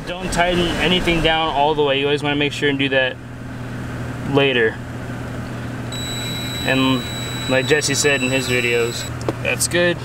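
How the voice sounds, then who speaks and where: A man's voice: mid-range voice, steady tone, measured pace, long pauses, over loud music. A man giving casual hands-on tutorial talk, explaining step by step with informal caveats. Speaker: friend demonstrating a CB radio install. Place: in and around a parked pickup truck. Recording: distant mic, clear noisy vehicle background.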